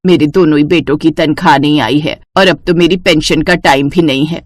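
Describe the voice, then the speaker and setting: A woman's voice: deep voice, brisk, pitched below the performer's own voice. A woman voicing an older mother-in-law in a cartoon story, earnest and motherly. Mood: worried. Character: older Indian mother-in-law, anxious but appreciative.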